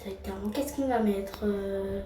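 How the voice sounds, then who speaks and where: A woman's voice: medium pitch, measured pace, very noisy. A woman giving casual, blunt and mocking reaction commentary in slang as she hosts a video. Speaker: video host reacting to clips. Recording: roomy, distant mic, music behind.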